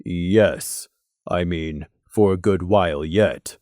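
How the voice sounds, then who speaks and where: A man voicing a reserved male winged pony guard with an understated, halting manner in an audiobook story. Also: low voice, darkish tone, dry recording, clean studio sound, voice pushed down. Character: Crimson, low-voiced reserved male pegasus guard stallion.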